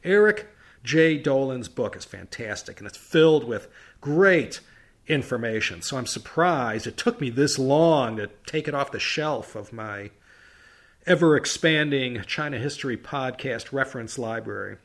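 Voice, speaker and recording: A man at a middle pitch hosts a history podcast from what sounds like his home office. He tells the story casually, with chatty, wry asides and punchy stressed words. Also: expressive, a little slow.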